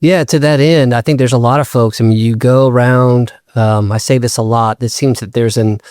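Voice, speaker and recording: A man's voice: medium pitch, fairly even intonation, normal speed, darkish tone, no echo, studio quality. A man hosting a podcast, asking a guest questions in a casual way and searching for his words. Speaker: podcast host. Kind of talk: interview questions.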